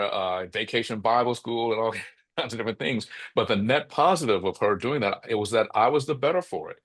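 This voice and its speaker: A middle-aged man with a bass voice, speaking reflectively as an interview guest, often checking in with "right?" and restarting his phrases. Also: fairly bright tone. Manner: thoughtful, frequent "right?" tags and restarts. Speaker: podcast guest.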